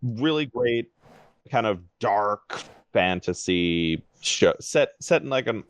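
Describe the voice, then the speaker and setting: Low-voiced man chatting on a podcast. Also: lively intonation, takes it slowly, clear, resonant tone, no room sound. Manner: rambling, enthusiastic. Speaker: podcast panelist. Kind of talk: casual chat recommendations.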